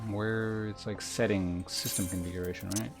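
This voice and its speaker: A man's voice: fairly low pitch, fairly even intonation, moderate pace. A man giving casual playthrough commentary as a game streamer, offhand and often muttering to himself. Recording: game music behind the voice. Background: game music.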